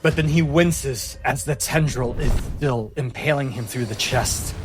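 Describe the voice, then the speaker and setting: A man narrating a tabletop fantasy game as game master, dramatic and suspenseful, with deliberate emphasis. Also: medium pitch. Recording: loud music behind voice.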